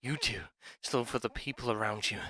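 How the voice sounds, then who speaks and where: A man's voice: medium pitch, a little fast, sharp tone. A man playing an eager, obsessive young thief in an ASMR roleplay, speaking in an urgent, stammering, clingy way. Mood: explaining, reluctant.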